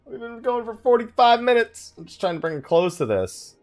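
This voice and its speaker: A man's voice: extremely high pitch, very animated, brisk, darkish tone, faint music behind. A man hosting a let's play with casual gaming commentary, thinking aloud and muttering asides to himself.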